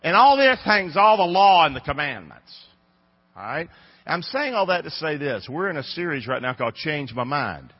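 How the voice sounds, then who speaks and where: A man's voice: on the high side, sing-song, moderate pace, husky. A man in his fifties with a Southern American accent, preaching a casual, chatty sermon and talking directly to his congregation. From North Carolina, USA. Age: 50s. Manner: folksy, chatty, talks to the congregation. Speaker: pastor. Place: church sanctuary. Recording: dull audio with long reverb.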